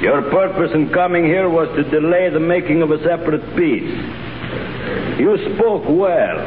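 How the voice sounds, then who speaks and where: An actor playing a stern Russian commission official in a 1930s radio drama, slow and measured. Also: male voice, a little high, lightly acted. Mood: cold. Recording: echoey, tinny hissy 1930s radio broadcast.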